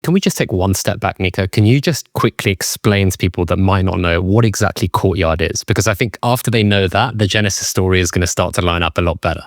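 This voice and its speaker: A man's voice: low-pitched, fast talker, few pauses, no room sound, studio quality. A man hosting a podcast, asking friendly, light questions and giving brief reactions. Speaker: podcast host. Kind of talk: podcast interview.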